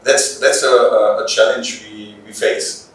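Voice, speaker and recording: A man's voice: on the low side, flat delivery, unhurried, a bit bright. Very reverberant distant recording, man, German accent, police officer giving interview answers, hesitant non-native English. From Bavaria, Germany. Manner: hesitant, searching for words. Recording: echoey room, distant microphone.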